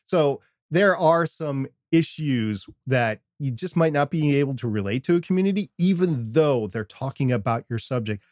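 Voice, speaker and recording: An older man with a North American accent talks as a podcast co-host. His delivery is casual and animated, wandering into self-deprecating asides. The sound is dry, with very little room on the voice. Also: mid-range voice, normal speed, hardly any pauses, no echo. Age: older, over 50. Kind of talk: casual discussion with examples.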